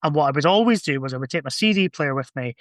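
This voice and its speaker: Higher-pitched man in casual, reflective chat. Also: lively intonation, racing, no echo, studio quality. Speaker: podcast speaker.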